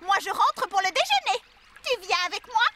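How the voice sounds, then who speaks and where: An actress playing a dismissive, haughty young princess in a fairy-tale cartoon. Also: female voice, very high voice, sing-song, brisk. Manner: haughty, offended. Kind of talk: French cartoon dubbing.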